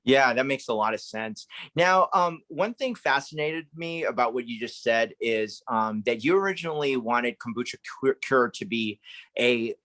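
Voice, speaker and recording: A man's voice: mid-range voice, lively intonation, moderate pace, pushed and bright, dry recording. A man hosting an interview, asking long, rambling, enthusiastic questions. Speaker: interview host.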